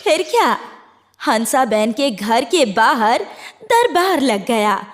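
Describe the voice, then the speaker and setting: Woman narrating a children's story, unhurried. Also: high-pitched, big pitch swings. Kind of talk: scripted storytelling narration for a children's moral cartoon.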